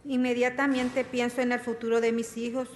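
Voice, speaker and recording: A woman from El Salvador, a hotel housekeeper, speaking slowly and haltingly in short sentences for an interpreter. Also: a little high, flat delivery, darkish tone. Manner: slow, halting, with restarts. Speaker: hotel housekeeper giving public comment. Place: large council chamber. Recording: echoey chamber with long reverb and faint noise.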